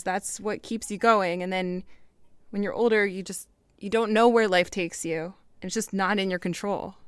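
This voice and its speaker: An actress playing an anxious, wry young woman who confides in a candid, halting, rambling way in an audio drama. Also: mid-range voice, smooth, dry recording, close to an everyday voice. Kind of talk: naturalistic audio drama dialogue.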